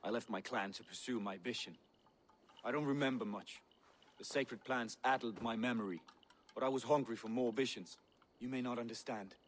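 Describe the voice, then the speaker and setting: A man's voice: mid-range voice, a little hiss or hum, natural voice. An actor playing a proud, zealous male Viking warrior who talks grandly and bristles when questioned. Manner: grandiose, boastful, quick to bristle. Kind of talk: game dialogue.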